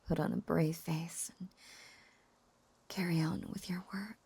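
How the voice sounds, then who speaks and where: A woman playing a lovesick, possessive, lonely witch in an intimate audio roleplay, coaxing and halting in a breathy whisper. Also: mid-range voice, warm tone, no echo, heavily acted. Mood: wistful, confessional.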